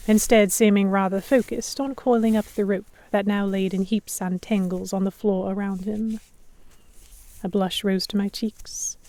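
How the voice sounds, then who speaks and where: A woman giving expressive first-person narration in the style of a dramatic audiobook. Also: mid-range voice, dry recording.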